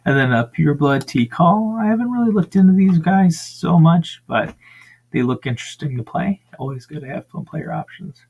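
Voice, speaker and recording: A man with a raspy voice casually talking through crowdfunding pages for tabletop games, with lots of ums and uhs. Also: fairly high pitch, moderate pace. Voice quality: frequent ums and uhs, relaxed rambling. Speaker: tabletop gaming channel host. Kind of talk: casual screen-share review.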